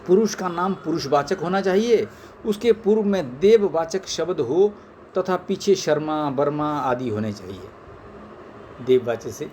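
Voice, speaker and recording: A man's voice: on the high side, unhurried, a little mellow, slight background noise. A man reading scripture aloud in a formal way, phrase by phrase, in an amateur recording. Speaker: reader of scripture.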